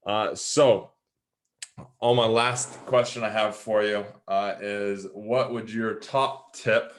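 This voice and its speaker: A man's voice: fairly low pitch, fairly even intonation, takes it slowly, a little hiss or hum. A man hosting a podcast, interviewing his guest in a casual, conversational way.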